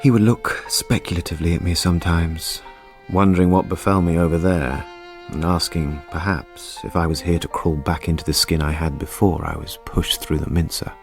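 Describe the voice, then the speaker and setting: A man's voice: deep voice, fairly even intonation, normal speed, hardly any pauses, faint music behind. A war veteran turned restorer in a radio drama. He is wry and polite, with a weary, reflective way of speaking.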